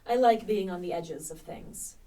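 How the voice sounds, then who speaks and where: A woman's voice: lowish voice, warm tone, close to an everyday voice. Witty young poet played by a woman, slightly distant. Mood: earnest, restless. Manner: bookish, dry, quick to retort. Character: young male poet Kit Marlowe, witty, restless, sceptical. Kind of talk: radio play acting. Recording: distant microphone with clear background noise.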